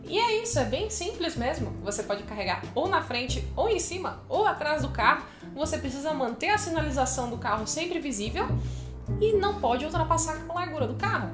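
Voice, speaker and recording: High-voiced Brazilian woman explaining at her desk. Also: sing-song, brisk, over music. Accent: Brazilian Portuguese. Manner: chatty, explanatory, frequent "né?" and "tá?" tags. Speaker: channel host. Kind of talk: casual explainer to camera. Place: home office, indoors.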